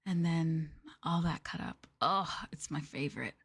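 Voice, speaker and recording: A woman with an American accent and a slightly low voice chats with her live viewers. Her delivery is soft and casual in an ASMR style, with expressive, bubbly reactions as she talks. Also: a little slow, breathy edge. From United States. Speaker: streamer chatting with live viewers. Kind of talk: casual ASMR chat stream.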